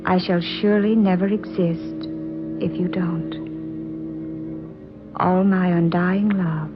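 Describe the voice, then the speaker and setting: Tender, theatrical radio wife, unhurried, vintage muffled recording. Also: female voice, lowish voice, steady tone, over music. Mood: sorrowful reading. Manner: theatrical, measured, dramatic. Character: tender, wondering wife uncovering a family secret. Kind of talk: 1940s radio drama acting. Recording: dull 1940s radio recording.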